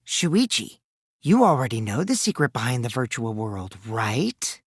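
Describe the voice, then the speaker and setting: A man's voice: mid-range voice, sing-song, unhurried, slightly rough, no room sound, studio quality, heavily acted. An actor playing a mischievous teenage boy in an anime game dub, a gleeful liar who teases and taunts theatrically, shifting between singsong mockery and sly menace. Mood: smug, sly.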